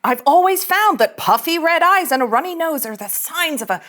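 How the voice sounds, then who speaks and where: A woman plays a brash starship officer in a script read. She is high-pitched and animated, with blunt delivery and a sarcastic bite. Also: moderate pace. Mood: sardonic. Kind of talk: character table read of sci-fi script.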